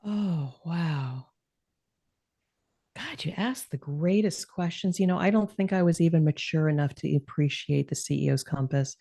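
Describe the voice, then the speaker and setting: Calm low-voiced woman, warm tone. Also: unhurried, creaky. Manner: reflective, earnest, with occasional laughs. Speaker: podcast guest. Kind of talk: conversational interview.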